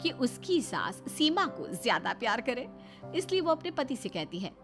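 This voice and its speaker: A woman's voice: on the high side, big pitch swings, moderate pace, a bit bright, soft background music. A woman narrator reading a scripted family story.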